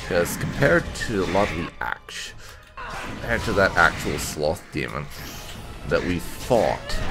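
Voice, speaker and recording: A man's voice: deep voice, vocal fry. A man giving casual let's play commentary, rambling and musing to himself as he goes. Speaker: gaming video host.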